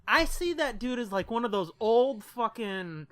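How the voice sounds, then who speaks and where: A man's voice: very high voice, lively intonation, unhurried, very noisy. A man co-hosting a podcast in casual, informal chat with plenty of swearing. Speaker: podcast host.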